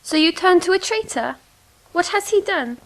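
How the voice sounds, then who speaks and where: A woman playing a mysterious scheming lady of the court, asking quick, probing questions from a distance. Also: very high-pitched, expressive.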